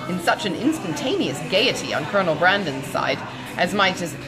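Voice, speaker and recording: A woman's voice: on the low side, very animated, moderate pace, poor audio. A woman reading a classic novel as scripted audiobook narration. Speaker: audiobook reader. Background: music.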